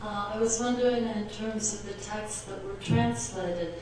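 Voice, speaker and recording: A woman's voice: medium pitch, fairly even intonation, noisy background. Distant woman asking in an echoey hall. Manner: careful, halting phrasing with many "uh"s. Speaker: professor asking a question. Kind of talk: academic audience question. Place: conference lecture room.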